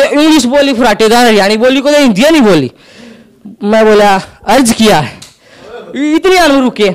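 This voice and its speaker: A young man with a Haryanvi accent doing stand-up storytelling on an indoor stage into a handheld mic, acting out quick back-and-forth dialogue. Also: very high voice. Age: young adult. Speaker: stand-up comedian. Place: indoor stage with curtain backdrop.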